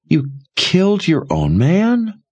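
A man's voice: on the high side, huge pitch swings, slow pace, slightly rough, voice pushed up, full character voice. A man voicing a frightened, accusing young woman in a fantasy audiobook, raised and strained. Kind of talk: audiobook character reading.

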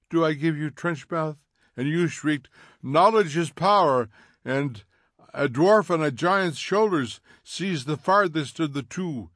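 A man voicing a smug, needling fire captain who mocks in quick theatrical bursts, in an audiobook reading. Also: medium pitch, a bit bright, no room sound, studio quality, voice pushed up. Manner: taunting, theatrical, fast mocking bursts.

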